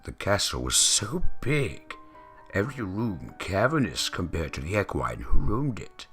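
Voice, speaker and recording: A man with a deep, gravelly voice narrating a story in a theatrical, deliberate manner, imitating a grand veteran voice actor. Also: huge pitch swings, a bit bright. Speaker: narrator of fan fiction readings. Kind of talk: dramatic scripted story narration. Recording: music behind the voice. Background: background music.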